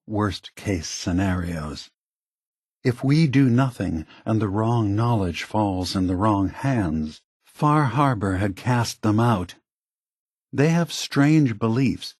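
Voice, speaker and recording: A man voicing an ancient, gentle, weary android leader in a video game, measured, halting and earnest, with a soft, husky delivery. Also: lowish voice, no room sound, clean studio sound. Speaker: leader of a synth refuge. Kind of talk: video game character dialogue.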